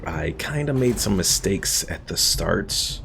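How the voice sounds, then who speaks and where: A man casually narrating a strategy game playthrough, thinking aloud and chatting with his stream viewers in a relaxed way. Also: sits low, slow pace, creaky. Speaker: gaming streamer. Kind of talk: casual let's-play commentary. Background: game music.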